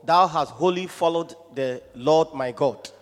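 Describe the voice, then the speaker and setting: A man reading Bible verses aloud in a formal, measured way during a church service. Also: fairly high pitch. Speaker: scripture reader and responder. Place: large reverberant room. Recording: echoing church hall.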